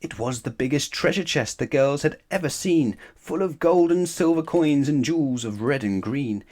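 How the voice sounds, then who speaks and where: A man's voice: on the high side, animated, moderate pace, darkish tone. A man reading a rhyming children's storybook aloud as a narrator.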